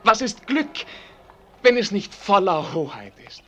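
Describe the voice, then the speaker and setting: Fervent young prince, swooping delivery. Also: male voice, sits high, big pitch swings, measured pace, a bit bright, slight background noise, heavily acted. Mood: fervent, lofty. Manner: lofty, fervent, theatrical. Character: earnest, romantic, dignified young prince. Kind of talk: German radio drama acting.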